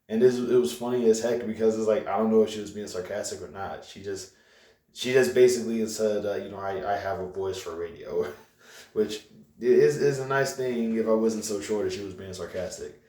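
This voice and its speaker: A man's voice: on the low side, moderate pace, mellow. A young man, vlogging in a casual, rambling way with lots of fillers and restarts. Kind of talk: casual vlog rant. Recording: echoey room, voice from far off.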